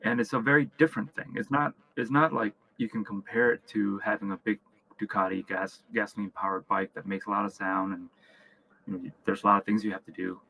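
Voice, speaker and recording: A man's voice: lowish voice, steady tone, pushed and bright, breathy edge, poor audio. A man from Vietnam and upstate New York giving conversational interview answers as a company founder, restarting often and checking in with "right?". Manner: halting, repeats words, often checks in with "right?". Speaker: company founder and chief executive, interview guest.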